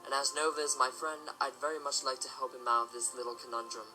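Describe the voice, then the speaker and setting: An earnest military stallion acted by a man with a very bright, tense voice at an ordinary male pitch. He speaks formally and precisely, like a soldier in an audio drama, with faint music behind. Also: fairly even intonation, quick pace, poor audio. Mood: dutiful.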